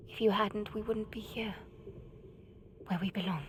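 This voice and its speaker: An actress playing an earnest, determined young woman in a video game, speaking with heartfelt emotion and sometimes hushed. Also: mid-range voice, steady tone, a little slow, natural voice. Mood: tender, insistent. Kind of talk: cinematic video game acting. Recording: faint ambient noise behind the voice.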